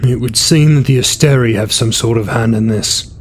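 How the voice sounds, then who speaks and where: An actor playing a brisk, formal, authoritative marshal in a science fiction audio drama. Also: male voice, medium pitch, lightly acted. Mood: stern, official. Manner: formal, commanding. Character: brisk, authoritative military marshal in space opera. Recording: faint background ambience noise.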